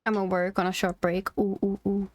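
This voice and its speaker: A woman's voice: medium pitch, level tone, a little fast, slight background noise. A woman streaming a game and chatting casually to her viewers, with giggly, murmured reactions. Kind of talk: casual livestream chat while playing a game.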